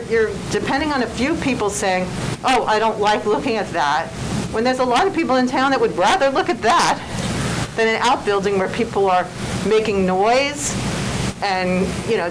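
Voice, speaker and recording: Blunt, indignant woman in a noisy room. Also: mid-range voice. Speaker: resident speaking at public comment. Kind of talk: impassioned public comment at a meeting. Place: indoor township meeting room. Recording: clearly noisy public meeting room recording.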